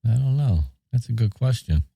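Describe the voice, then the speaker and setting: A man's voice: mid-range voice, lively intonation, moderate pace, creaky, warm tone, breathy edge, no echo. A man co-hosting a podcast, bantering casually and adding short replies. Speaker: podcast co-host.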